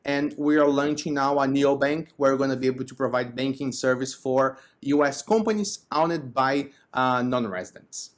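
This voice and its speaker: A man's voice: medium pitch, normal speed, few pauses. A man from Brazil with a Brazilian accent, explaining his business conversationally as an interview guest. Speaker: podcast guest, company co-founder.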